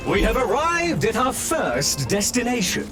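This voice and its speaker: A man's voice: very high-pitched, wildly swooping pitch, measured pace, heavily acted. A man voicing an eager, officious robot tour guide in a video game, with formal, sing-song announcer phrasing. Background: game background music.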